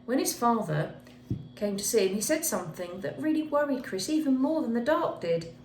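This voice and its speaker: A woman's voice: on the high side, sing-song, normal speed, darkish tone. A woman reading a children's picture book aloud expressively, with dramatic emphasis on key words. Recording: echoey room with faint background noise.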